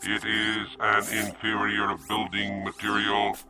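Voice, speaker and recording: An actor playing a literal-minded male robot, speaking in a flat, measured way in a radio drama. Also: on the low side. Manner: flat, measured, emotionless delivery.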